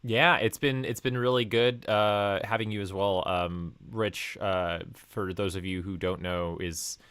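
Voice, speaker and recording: A man with a fairly low voice hosts his stream and talks loosely, rambling and restarting his sentences. The sound is close and dry. The tone is informal. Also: fairly even intonation, unhurried, a bit bright, smooth, dry recording. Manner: rambling, full of fillers and restarts. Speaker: streamer and host. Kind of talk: casual chat.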